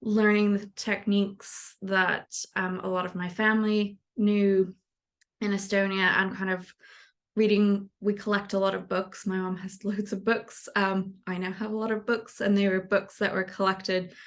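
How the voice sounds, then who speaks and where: A woman's voice: medium pitch, normal speed. A young adult woman from the United States, a knitwear designer, talking casually in an interview with a rambling manner. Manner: relaxed, rambling, frequent fillers and light laughs.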